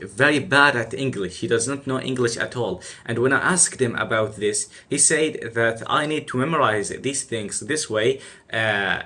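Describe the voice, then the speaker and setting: A young man whose first language is Arabic hosts a casual chat, pausing often with "uh" as he searches for words. His voice is bright and clear-edged at a middle pitch. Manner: hesitant, with many "uh" pauses. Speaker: podcast host. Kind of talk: casual conversation.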